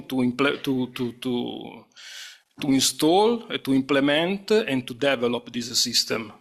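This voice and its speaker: A man's voice: mid-range voice, gravelly, fairly bright tone. A man on a conference panel answering a question, stumbling and repeating words as he speaks.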